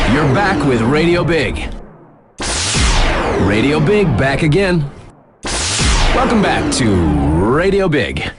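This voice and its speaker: A man playing a cool, cheeky young radio DJ in a video game, delivering conversational sports-circuit patter with laid-back hype and teasing asides. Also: medium pitch. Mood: upbeat announcing. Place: dry studio booth.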